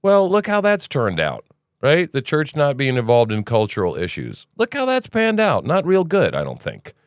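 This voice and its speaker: A man's voice: medium pitch, wildly swooping pitch, moderate pace, no room sound, clean studio sound. A man hosting a casual news commentary podcast, rambling and opinionated, with sarcastic asides. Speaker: podcast host.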